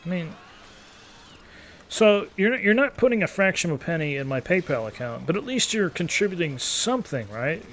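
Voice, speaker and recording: A man's voice: high-pitched, big pitch swings, normal speed, a bit bright, a little hiss or hum. A man in his thirties giving casual, rambling gameplay commentary as a YouTuber, in an easygoing, take-it-or-leave-it manner. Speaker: gaming YouTuber.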